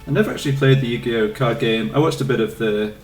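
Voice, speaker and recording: A man's voice: medium pitch, fast talker. Young english guy streaming, rambly chill chat with viewers, kinda far off the mic, echoey room, game music faint behind. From England. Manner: relaxed, rambling, reads and answers chat. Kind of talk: casual chat with live chat while playing.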